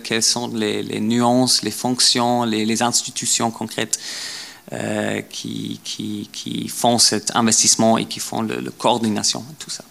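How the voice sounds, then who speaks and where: A low, gravelly man's voice with a lot of creak in it. He lectures in a language that is not his native one, stumbling through repeated words and filler sounds as he works his way to each point. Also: sharp tone. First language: not French. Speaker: guest lecturer. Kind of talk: academic lecture.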